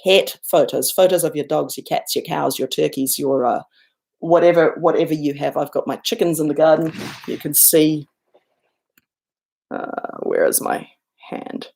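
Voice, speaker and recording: A middle-aged woman with a New Zealand accent, hosting a casual livestream from home. She chats with viewers, reads out their comments and answers them, and jumps up high when she thanks people. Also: steady tone, moderate pace, creaky, a little mellow. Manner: chatty and self-deprecating, bursts up high when thanking viewers. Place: home, looking out over a garden and lake.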